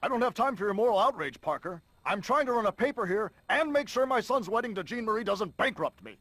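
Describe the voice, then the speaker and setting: A man's voice: high voice, expressive, fast, fairly bright tone. An actor playing a stingy, impatient newspaper publisher in a video game, barking his lines brusquely. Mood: impatient, gruff.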